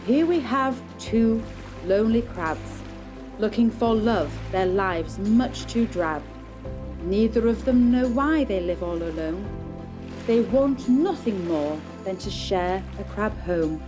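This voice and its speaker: A woman's voice: medium pitch, expressive, slow, music in the background. A woman narrating a puppet show as a storyteller in scripted rhyming verse.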